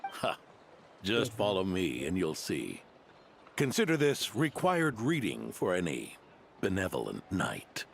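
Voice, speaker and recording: Gravelly, grandfatherly old knight. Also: low voice, huge pitch swings, a little slow, slight background noise, exaggerated voice. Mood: wise, genial. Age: older. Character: kindly semi-retired old knight, grandfatherly, gently wise. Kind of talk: anime-style video game dialogue.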